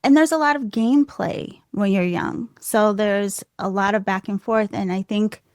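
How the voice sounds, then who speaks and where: Soft-voiced American woman, podcast guest, mid-range pitch, dry close sound, open and laughing storyteller. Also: creaky, no room sound. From United States. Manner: laughs often, animated and self-deprecating. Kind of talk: candid conversational storytelling.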